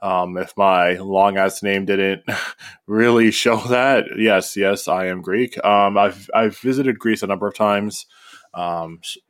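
A man co-hosting a film podcast, talking in a measured, thoughtful way with frequent pauses and ums. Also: sits low. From born in South Africa, raised in Canada. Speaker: podcast co-host. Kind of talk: casual film discussion.

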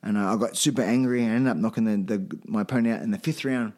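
A man's voice: lowish voice, fast talker, darkish tone. A middle-aged man with an Australian accent, telling personal anecdotes as a guest in a hesitant, modest, casual way. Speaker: podcast guest, veteran fighter.

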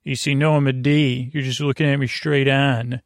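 A man voicing a proud, vindicated talking alphabet letter with a creaky voice for a bedtime story. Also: mid-range voice, flat delivery, moderate pace, no room sound, studio quality, lightly acted. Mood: self-satisfied.

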